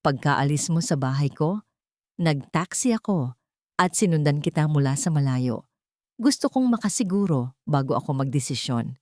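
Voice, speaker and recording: Woman narrator playing scheming female lawyer, weary, measured, earnest, storybook drama, dry sound. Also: low voice, lively intonation, a little mellow, no room sound. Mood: persuasive. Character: tired, scheming woman lawyer feigning concern.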